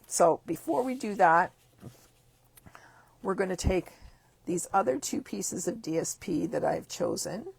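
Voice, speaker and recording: A hoarse woman with a croaky voice, chatting upbeat through a card-making tutorial step by step. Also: fairly low pitch, expressive, measured pace, pauses often, no echo, rough recording. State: hoarse, losing her voice. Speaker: craft demonstrator.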